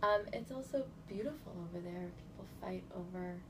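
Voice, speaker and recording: A woman from California in her thirties, answering interview questions in a relaxed, chatty way with lots of fillers. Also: medium pitch, normal speed. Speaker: guest. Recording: noisy indoor recording.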